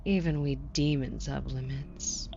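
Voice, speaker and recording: Female demon, played by an actress, audio roleplay. Deep for a woman, slow, sardonic drawl, imperious and flirtatious. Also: fairly even intonation, creaky, exaggerated voice. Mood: wry, unimpressed. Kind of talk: scripted audio roleplay acting. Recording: muffled, with audible background noise.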